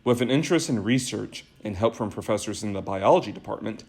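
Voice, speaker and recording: A man's voice: fairly low pitch, lively intonation, fast, darkish tone. A young man reading scripted narration for a news segment as a student reporter. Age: young adult.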